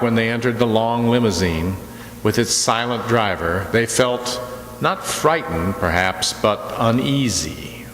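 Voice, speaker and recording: A man narrating a radio drama as a storyteller of a mystery tale, deliberate and suspenseful. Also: fairly low pitch, fairly bright tone. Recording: echoey hall with clear background noise.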